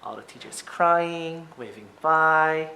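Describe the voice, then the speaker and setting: A man who is a teacher reading a picture book aloud to children, with expressive, emphatic phrasing and short asides to his listeners. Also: a little high, slow, slight background noise, low-quality recording.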